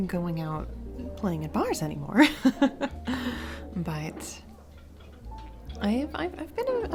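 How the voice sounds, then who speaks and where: A woman playing a warm single mom on a first date in a romantic audio roleplay, speaking hesitantly and encouragingly with soft giggles. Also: medium pitch. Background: background music, restaurant date ambiance.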